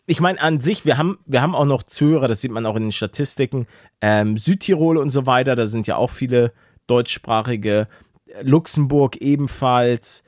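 A man's voice: medium pitch, a little mellow, no room sound, studio quality. A man co-hosting a podcast in casual, slangy chat with joking banter. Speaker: podcast co-host.

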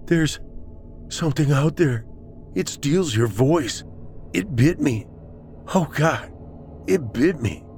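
Horror victim played by a man: dazed, defensive, halting, pitched up in panic. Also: expressive, a little mellow, soft background music. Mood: panicked. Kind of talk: horror audiobook character acting.

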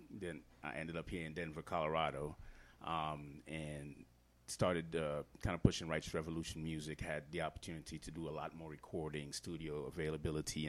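Man, very low voice, from Trinidad and Tobago, earnest, talks with lots of fillers. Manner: earnest, explanatory, many fillers. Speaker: guest hip-hop artist. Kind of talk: casual podcast interview.

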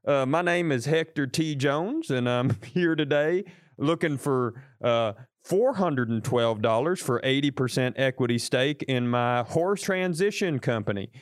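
A man voicing an eager backwoods male contestant nervously pitching a business. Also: animated, measured pace, no echo, clean studio sound, natural voice.

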